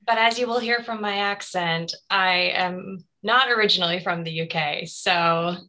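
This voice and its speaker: A woman's voice: on the low side, moderate pace, sharp tone, low-quality recording. A woman chatting casually on an online call, friendly and conversational. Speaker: chat participant. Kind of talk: casual chat. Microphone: video call.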